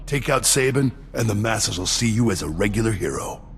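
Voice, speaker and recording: Casting: a rough street cultist in a game; fry-edged, clipped, plain-spoken, heard in long reverb. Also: male voice, fairly low pitch, lively intonation, a little fast, a little hiss or hum. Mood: gruff, defiant. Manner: blunt, clipped, colloquial. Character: brash, blunt, rough street rebel and cultist.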